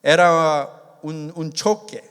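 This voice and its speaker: A man born in Korea and raised in the United States, preaching a sermon in a halting way, with many restarts, and stretching out the key words. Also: a little high, steady tone, a bit bright, clean tone. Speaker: missionary preacher. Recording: long hall reverb.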